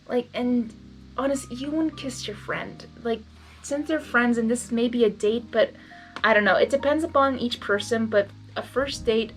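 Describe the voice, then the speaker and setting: Higher-voiced woman reading aloud over music. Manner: stumbles over words, self-interrupting, reactive. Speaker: host reading fan fiction aloud and reacting. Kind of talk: blind reading with casual commentary. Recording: background music under the voice.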